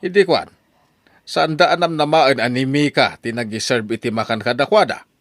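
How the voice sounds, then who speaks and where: A man's voice: mid-range voice, normal speed, a bit bright, no echo, close to an everyday voice. A man with an Ilocano accent playing a concerned older brother, passing on news in a chatty way in a radio drama. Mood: curious. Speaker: elder brother.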